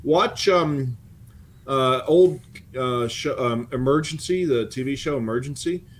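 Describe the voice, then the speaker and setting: An older man explaining things in casual, halting conversation as a co-host. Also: mid-range voice. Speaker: co-host and former firefighter. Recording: noticeable background noise.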